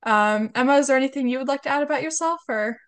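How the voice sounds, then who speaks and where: A young woman with a cold hosts a casual podcast chat. Her voice sits a bit high. She is upbeat and chatty, quick to apologize. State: has a cold. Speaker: podcast host.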